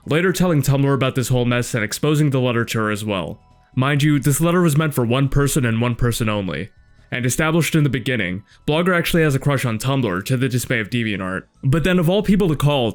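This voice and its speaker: A man giving casual scripted commentary in a blunt, sardonic, sweary way. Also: mid-range voice. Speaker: video essay host reviewing a webcomic. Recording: music under the voice. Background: background music.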